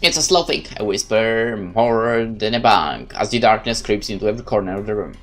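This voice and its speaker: A man giving a theatrical first-person reading of a horror visual novel. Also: lowish voice. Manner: measured, theatrical narration. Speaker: game let's-play narrator.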